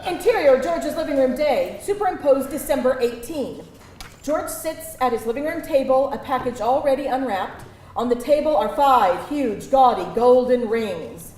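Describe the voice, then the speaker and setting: A woman reading stage directions aloud as narrator at a live table read, expressive and theatrical, in a roomy venue. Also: high-pitched, moderate pace. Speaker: screenplay narrator. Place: live event venue with audience. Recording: roomy live venue, faint background noise.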